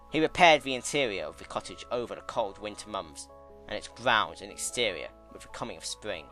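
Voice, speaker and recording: A man narrates a story dramatically in a low, bright voice with an animated range of pitch. His delivery is solemn and measured, over a music bed. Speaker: narrator, fan-fiction reader.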